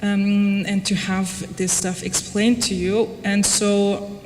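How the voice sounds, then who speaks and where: A woman's voice: medium pitch, flat delivery, slow pace. A woman from Austria giving an informal lecture in a conversational, wry way, peppered with casual asides. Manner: conversational, wry, frequent "like" and "you know". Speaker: lecturer presenting own research project. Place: reverberant lecture room, before an audience. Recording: echoey hall, background noise.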